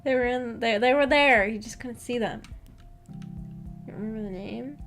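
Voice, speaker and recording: A woman's voice: a little high, normal speed, fairly bright tone, faint music behind. A young adult woman chatting casually as a livestream host, rambling in a giggly, self-deprecating way. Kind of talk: casual livestream chat with viewers.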